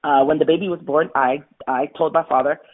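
A woman's voice: low voice, fairly even intonation, a little fast, a little hiss or hum. A woman in her forties from Florida, earnestly telling her life story as an interview guest. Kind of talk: candid personal storytelling. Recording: tinny phone-like line.

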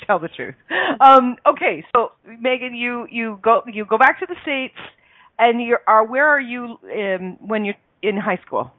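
A woman's voice: on the high side, unhurried, no room sound. A bubbly, giggly woman from Canada hosting a casual chat interview. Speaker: host, interviewer. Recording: narrow, phone-like line.

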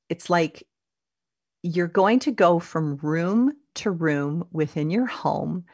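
A woman speaking as a life coach, leading a calm, reflective self-help talk and often repeating her words. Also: on the low side, no room sound, clean studio sound.

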